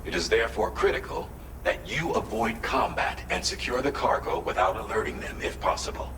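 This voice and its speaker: A man playing a grave, formal dispatcher, giving a measured and earnest mission briefing in a video game. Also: on the low side, animated, fairly bright tone. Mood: grave, urgent. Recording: echoey, distant, noisy game audio.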